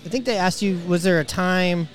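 Male podcast host, slightly high pitch, casual and friendly questioner. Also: normal speed. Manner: friendly, laughs often, asks leading questions. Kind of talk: casual interview chat.

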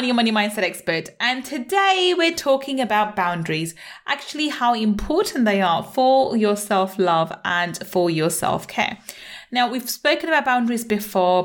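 Female podcast host. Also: medium pitch. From England (born in Manchester, grew up in London). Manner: conversational, repeats herself, frequent "you know". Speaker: podcast host, money mindset coach. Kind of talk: solo podcast talk.

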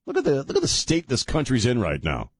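A man's voice: mid-range voice, huge pitch swings, fast, slightly rough. A middle-aged man hosting a talk radio show, rambling and sardonic, often restarting his sentences. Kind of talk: casual talk radio monologue. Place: radio studio. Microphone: studio microphone.

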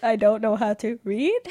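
A woman's voice: on the high side, dark tone, slight background noise. A young adult woman hosting a radio show, chatting casually with a friend, self-deprecating and laughing often. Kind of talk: casual chat.

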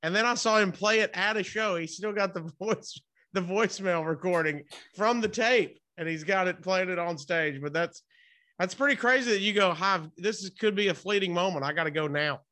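A man's voice: high voice, normal speed, sharp tone. A man hosting a casual interview, chatting in an informal, rambling, buddy-like way. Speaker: interview host.

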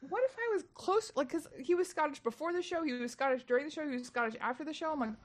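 A young adult woman, a stand-up comedian, chatting casually on a podcast and telling gossipy road stories with lively animation. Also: high voice, quick pace, no room sound. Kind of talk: casual podcast chat, storytelling.

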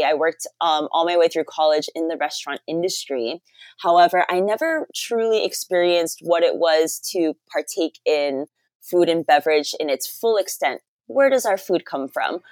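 A young American woman speaks as a podcast guest, recounting things conversationally. Her voice is on the low side for a woman, and it is captured very dry, with no room sound. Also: a little mellow, no room sound. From Hawaii, United States. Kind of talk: conversational storytelling in an interview.

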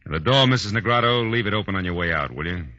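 A tough, world-weary private eye speaks in a deep, forceful voice with sardonic, clipped lines. It sounds like a 1940s radio detective show, dry and dull in the old recording. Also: male voice, expressive, fast, dry recording. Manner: sardonic, hard-boiled, clipped. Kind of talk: 1940s radio detective acting, first-person narration.

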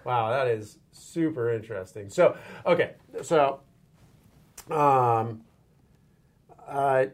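A man's voice: medium pitch, slow, pauses often. Curious male interviewer thinking aloud. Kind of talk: conversational interview.

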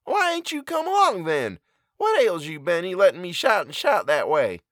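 Guy doing a worried country mama, high squeaky voice, pleading, dry sound. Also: huge pitch swings, moderate pace, a bit bright, no room sound, exaggerated voice. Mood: anxious pleading. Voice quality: rustic dialect, pleading. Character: worried rural farm mother, rough country speech.

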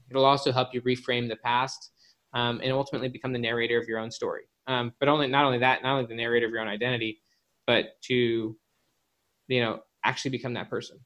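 A man's voice: mid-range voice, little pitch movement, quick pace, a bit bright. Man explaining ideas as a podcast guest. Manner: explanatory, addresses the listener directly. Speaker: guest, author and organizational psychologist. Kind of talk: casual podcast interview, explanatory talk.

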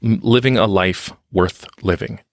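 A man's voice: on the low side, a little slow, vocal fry, no room sound, studio quality. A man talking candidly in a podcast interview, reflective and self-deprecating, often restarting his sentences. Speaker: podcast guest on leadership and success.

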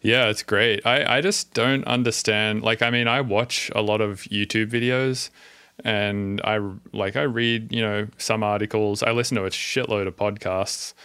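Low chill podcast host guy, smooth voice, dry close sound, lots of like and um. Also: fairly even intonation, normal speed, pushed and bright, no echo. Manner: laid-back, chatty, uses filler words. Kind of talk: casual podcast chat.

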